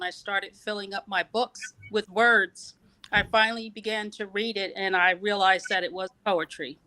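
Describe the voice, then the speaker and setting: Guest poet, woman from New Jersey, bright tone, calm and reflective. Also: medium pitch, poor audio. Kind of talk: casual interview.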